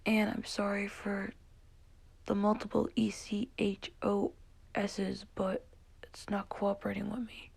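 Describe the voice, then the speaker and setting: A woman whispering softly as she comments on songs, hesitant and full of fillers. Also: medium pitch, monotone, measured pace, vocal fry, breathy edge. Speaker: vlogger reviewing songs. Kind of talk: whispered ASMR-style music commentary.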